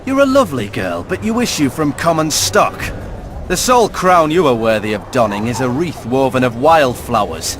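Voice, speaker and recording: A man's voice: mid-range voice, very animated, normal speed, natural voice. Male actor as sneering game monarch, swooping intonation, condescending, theatrical, music behind. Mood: scornful. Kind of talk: video game dramatic dialogue.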